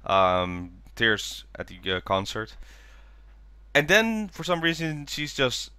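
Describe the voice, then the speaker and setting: A man's voice: mid-range voice, big pitch swings, slow, pushed and bright, clear, resonant tone, dry recording. A man hosting a casual fan discussion podcast, slightly stuffy-nosed with a cold coming on. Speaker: podcast host.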